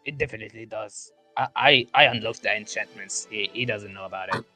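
Man as a bright, scolding talking sword. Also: on the low side, a little fast, no echo, soft background music. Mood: explaining. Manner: blunt, sweary, nagging. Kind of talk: improvised comedy fandub.

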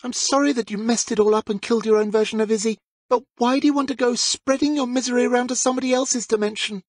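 A man's voice: very high voice, fairly even intonation, quick pace, no room sound, voice pushed up. A man voicing a brave, indignant young girl in an audiobook, outspoken and pleading. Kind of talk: audiobook character voice.

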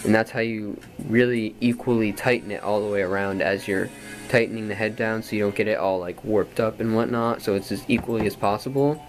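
A man in his twenties with a low voice, explaining drum tuning in a rambling, casual way with many ums, recorded in a small padded bedroom on a camera mic. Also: fairly even intonation, fairly quick, slight background noise. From Florida, USA. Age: 20s. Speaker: drum instructor. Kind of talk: casual tutorial. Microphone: camera mic from a few feet away.

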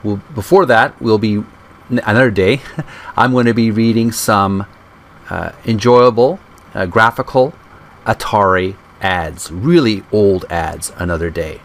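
A man's voice: lowish voice, unhurried. A man hosting a channel, reading a book aloud and addressing listeners in a polite, courteous way, sometimes stumbling and correcting himself. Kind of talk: read-aloud narration and channel talk. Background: music.

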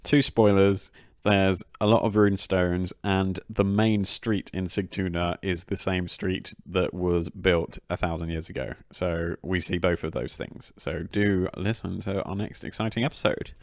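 A man's voice: low-pitched, steady tone, measured pace, no room sound. A man co-hosting a history podcast in an upbeat, chatty way. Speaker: podcast co-host. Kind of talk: casual history podcast chat.

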